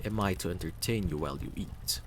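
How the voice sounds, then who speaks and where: A man's voice: low-pitched, fairly quick, background noise, lightly acted. A man voicing a guarded, aloof male stranger in a fantasy game, speaking in a dry, laconic, formal manner.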